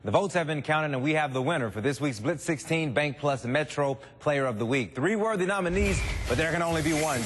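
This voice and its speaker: An American man delivering a local TV sportscast in a punchy, conversational anchor style. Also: on the high side. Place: TV studio.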